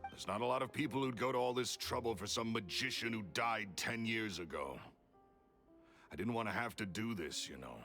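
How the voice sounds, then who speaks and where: Bitter rival magician, menacing sneer, lowish gravelly tone. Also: male voice, moderate pace, a bit bright, soft background music. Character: bitter jealous male magician villain. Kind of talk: game voice acting.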